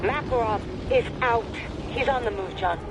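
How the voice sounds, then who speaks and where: A woman's voice: on the high side, normal speed, very noisy, close to an everyday voice. A woman playing a grave, urgent intelligence officer on the radio in a video game, speaking in clipped phrases. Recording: dull radio sound, very loud music.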